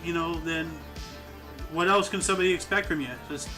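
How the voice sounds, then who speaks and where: A man's voice: on the high side, steady tone, fairly quick, bright, forceful tone, faint music behind. A man in his thirties hosting a casual group chat, rambling with many fillers. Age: 30s. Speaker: podcast host.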